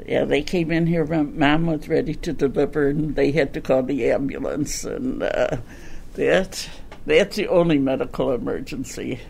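A woman's voice: sits low, moderate pace, creaky, a little mellow, slight background noise. An older woman who works as a county clerk, recalling stories in an interview. She chats, laughs often and repeats her words. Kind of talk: interview recollection.

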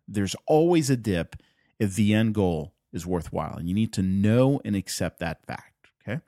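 A man's voice: on the low side, a little mellow, dry recording, clean studio sound. A man from Puerto Rico whose first language is Spanish, hosting a podcast and talking earnestly and emphatically, driving points home by repeating them. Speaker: podcast host. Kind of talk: motivational solo podcast talk.

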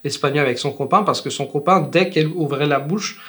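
A man in his thirties with an Italian accent, speaking as a guest in a casual interview. His voice sits a bit higher than most men's. He talks loosely, stopping and restarting, with frequent fillers. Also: fairly quick. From Italy. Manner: relaxed, rambling, many fillers and restarts. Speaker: guest, language teacher.

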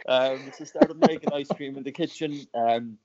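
A man from Cork with an Irish accent, telling his founder story as a podcast guest in a relaxed, chatty way. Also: mid-range voice, moderate pace, lo-fi sound. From Ireland. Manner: chatty, laughs often, many restarts. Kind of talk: casual podcast interview storytelling.

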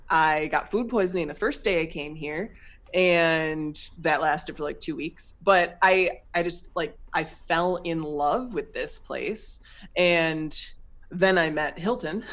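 A woman from the United States, telling her story as a guest in a casual interview, animated and laughing, with lots of fillers. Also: lowish voice.

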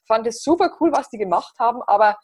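Bavarian woman in her 30s, kinda husky, talks easy and upbeat on a podcast. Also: on the high side, lively intonation, fast, darkish tone. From Bavaria, near Passau. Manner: chatty, many fillers, upbeat. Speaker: podcast guest. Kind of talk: casual interview chat.

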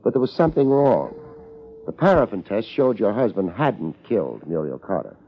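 A man acting a laid-back, dryly wry private detective in deadpan 1950s radio drama style. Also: on the low side, moderate pace, creaky, slight background noise. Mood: quiet, probing. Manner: deadpan, understated. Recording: muffled 1950s radio recording.

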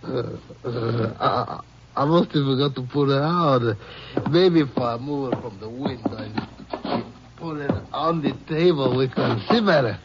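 An actor playing an evasive Mexican man in an old radio drama, pleading innocence in halting, accented broken English. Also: medium pitch, noisy background, lightly acted. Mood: sleepy, evasive. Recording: dull vintage radio recording.

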